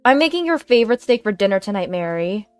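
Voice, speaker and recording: A woman's voice: medium pitch, very animated, quick pace, smooth, no echo, soft background music, close to an everyday voice. A woman voicing an outwardly sweet, bossy daughter-in-law in an audio story, with an insistent, falsely friendly manner. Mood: sweetly polite.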